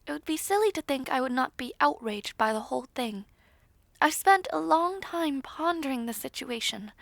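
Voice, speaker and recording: A woman voicing a composed, proud young princess in a fantasy audio roleplay, candid, stately and deliberate in her formal speech. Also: high-pitched, creaky, a bit bright, no room sound, heavily acted.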